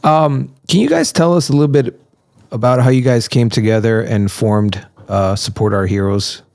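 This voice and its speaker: A man hosting a podcast interview in a conversational, somewhat halting manner. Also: medium pitch, creaky, a little mellow, no echo, clean studio sound. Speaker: podcast host.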